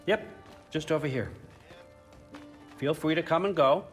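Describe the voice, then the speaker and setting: An actor playing a scheming old king of the gods who talks in a smooth, folksy, offhand way. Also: on the high side, measured pace, soft background music, lightly acted.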